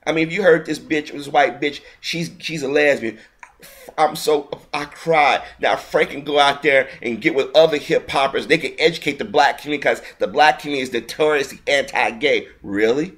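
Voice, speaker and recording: A man's voice: fairly high pitch, moderate pace, a bit bright. A man giving a heated, indignant video commentary, often restarting his sentences mid-thought. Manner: heated, indignant, repetitive ranting with frequent restarts.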